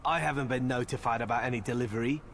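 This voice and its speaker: An actor playing a gruff, officious and grudging fort officer in a fantasy video game. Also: male voice, mid-range voice, fairly even intonation, fast, fairly bright tone, a little hiss or hum, natural voice. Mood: suspicious.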